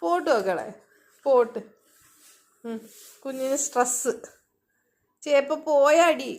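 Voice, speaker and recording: Woman, mother; high, unhurried, cooing and repetitive. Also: expressive. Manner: soothing, repetitive, sing-song questions. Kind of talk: cooing baby talk to a child.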